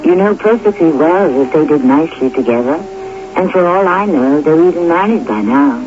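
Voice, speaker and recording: Male anthology host: measured, theatrical scripted narration, lively inflection, dull old radio broadcast with hiss. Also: a little high.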